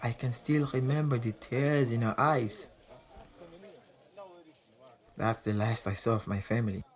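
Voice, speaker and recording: An actor playing a proud, volatile Senegalese champion boxer, wounded underneath, confiding in an audio drama. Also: male voice, medium pitch, fairly even intonation, measured pace, lightly acted. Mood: bitter, reflective. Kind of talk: audio drama acting with confiding first-person narration.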